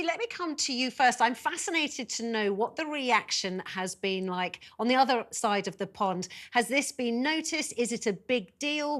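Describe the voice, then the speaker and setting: A woman's voice: mid-range voice, fairly bright tone. Casting: female British TV news presenter, mid-range voice, conducting live interviews with guests. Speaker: news show host.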